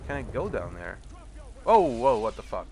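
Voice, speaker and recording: A man's voice: fairly low pitch, very animated, moderate pace, darkish tone. A young man with a stuffy cold, casually commenting on his gameplay, swearing and mocking enemies sarcastically. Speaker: let's play commentator. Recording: home recording with clear background noise.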